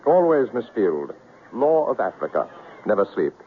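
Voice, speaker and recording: An actor playing a coolly arrogant, unflappable big-game hunter in a 1970s radio drama, with a clipped, nonchalant manner. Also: male voice, on the low side, sing-song, moderate pace. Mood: breezy reassurance. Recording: tinny, noisy 1970s radio recording.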